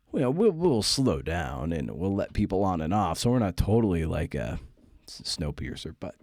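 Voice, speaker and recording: A man hosting a podcast in a casual, confessional monologue, halting and self-deprecating, with casual swearing. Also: fairly low pitch, darkish tone, dry recording, clean studio sound. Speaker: podcast host. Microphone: close podcast microphone.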